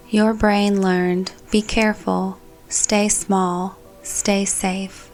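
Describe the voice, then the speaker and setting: A woman leads a guided meditation with a calm, soothing delivery. Her voice sits at a medium pitch and she speaks gently, as a guide reading prepared narration. Music plays faintly behind her. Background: background music.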